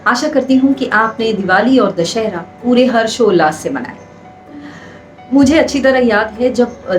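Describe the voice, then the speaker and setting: A woman from India narrating an inspirational story in a measured way, speaking directly to her listeners. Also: fairly high pitch, poor audio. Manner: measured, addresses listeners directly with rhetorical questions. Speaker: storyteller and host. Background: background music.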